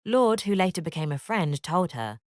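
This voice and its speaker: Woman reading a school lesson, low voice that swings up and down a lot, close dry mic. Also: no echo. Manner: measured, expressive read-aloud delivery. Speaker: educational narrator. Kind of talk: scripted narration.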